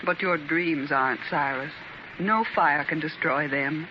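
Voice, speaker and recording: A gently teasing loyal wife; soft, reassuring, dull hissy 1930s disc. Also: female voice, deep voice, measured pace. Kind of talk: 1930s radio drama acting.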